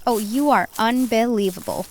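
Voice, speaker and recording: A woman's voice: on the high side, normal speed, a little mellow, a little hiss or hum, lightly acted. A woman playing a wary, sceptical, easily exasperated young friend in a horror audio drama. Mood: exasperated, nervous.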